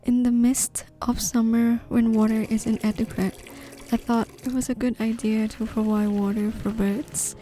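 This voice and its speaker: A woman from northeastern Thailand, gently narrating a gardening video in a measured, explanatory way. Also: a little high, level tone, faint music behind. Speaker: gardening vlogger. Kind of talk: calm scripted voice-over narration.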